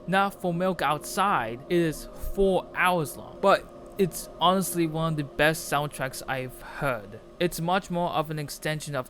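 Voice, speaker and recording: A man reading a scripted video essay about a game in an earnest, enthusiastic way. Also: a little high. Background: music playing under the voice.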